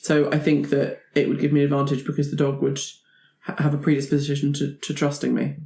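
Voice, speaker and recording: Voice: medium pitch, monotone, fast, gravelly, dark tone. Tabletop player in a roomy, echoing space. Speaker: player in a tabletop roleplaying game. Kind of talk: casual improvised game play. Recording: roomy, echoey sound.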